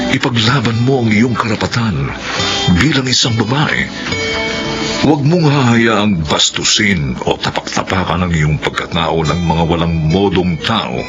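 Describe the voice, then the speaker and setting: A man narrating a radio drama from a script in a solemn, dramatic, measured manner. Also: deep voice. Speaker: narrator, host and co-director. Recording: dull lo-fi radio audio, loud music. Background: loud music with guitar.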